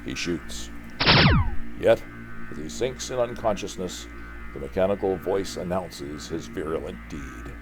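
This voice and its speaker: A man's voice: deep voice, expressive, a little slow, music in the background. An older man from Canada reading a comic book aloud as a dramatic storyteller.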